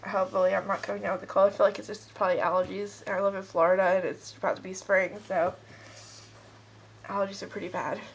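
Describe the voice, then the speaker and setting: A woman's voice: mid-range voice, little pitch movement, fast talker, a little hiss or hum, low-quality recording. A woman with a stuffed-up nose giving a casual, rambling pick-a-card tarot reading.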